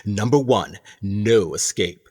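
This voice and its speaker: A man playing a pompous, husky prison captain. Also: on the low side, expressive, takes it slowly, darkish tone, full character voice. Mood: controlled, persuasive. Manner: pompous, theatrical, lecturing with rhetorical questions. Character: smug, calculating male prison captain, proud mastermind of a colony. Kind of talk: radio play acting.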